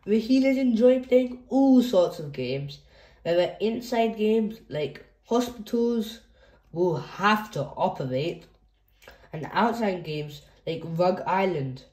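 A woman's voice: medium pitch, lively intonation, slow, warm tone, echoey room. A woman hosting a children's read-aloud, reading a picture book expressively for young listeners.

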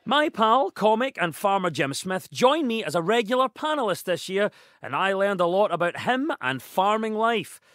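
A man hosting a comedy radio show, leading panel chat with quick, teasing banter. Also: high-pitched, big pitch swings, fairly bright tone, no room sound, clean studio sound. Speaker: host.

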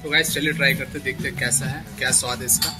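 A man's voice: medium pitch, fairly even intonation, racing. A man from Kolkata, reviewing dishes in a casual food vlog. He talks straight to his viewers as friends and often repeats himself while trying the food in a restaurant. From Kolkata, India. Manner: chatty, addresses viewers as friends, repeats phrases. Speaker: food vlogger. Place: restaurant dining room. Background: loud music.

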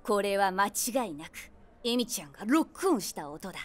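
A woman playing a nosy, wry middle-aged eavesdropper, narrating her inner thoughts with deadpan, teasing asides. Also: mid-range voice, a little fast. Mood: amused, observing. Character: nosy, wry 46-year-old eavesdropping woman with wild imagination. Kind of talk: scripted comedic inner-monologue narration. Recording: music behind the voice.

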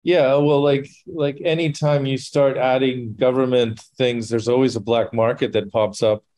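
A man hosting a podcast over a video call, talking in a relaxed, conversational way. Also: mid-range voice, normal speed, dry recording. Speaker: podcast host. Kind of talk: casual chat.

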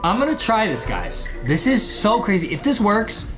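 A man in his twenties doing excitable gameplay commentary, repeating phrases and addressing the viewers as he hosts. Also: high-pitched, normal speed. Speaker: gaming video host. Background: music.

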